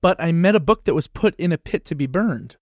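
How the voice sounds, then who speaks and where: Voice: mid-range voice, expressive, fairly quick, no echo, studio quality. An actor in a musical puppet show voicing an anxious, earnest children's book that pleads its case expressively. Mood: pleading, indignant. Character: earnest, anxious award-winning children's book facing a ban.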